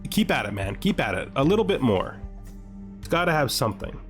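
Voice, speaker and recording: A man's voice: mid-range voice, sing-song, brisk, faint music behind. A man giving casual gaming commentary, thinking aloud and asking himself questions as he weighs his choices. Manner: asks himself questions, muses over choices. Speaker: gameplay host.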